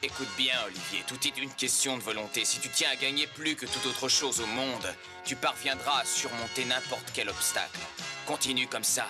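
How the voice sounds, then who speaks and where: A stern, encouraging mentor played by a man with a medium-pitched voice. He lectures solemnly in a cartoon dub, with music clearly behind him. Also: moderate pace, lightly acted. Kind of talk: French animated series dub.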